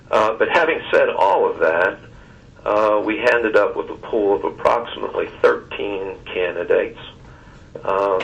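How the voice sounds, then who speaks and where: A man giving a formal briefing on a conference call in a halting, deliberate and measured way, with long hesitations. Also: low voice, gravelly. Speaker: search consultant reporting to a committee. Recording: dull, distant conference phone line.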